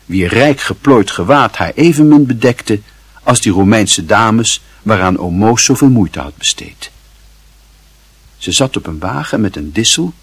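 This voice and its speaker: A man narrating literary fiction as an expressive audiobook storyteller. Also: mid-range voice, slightly rough, no echo.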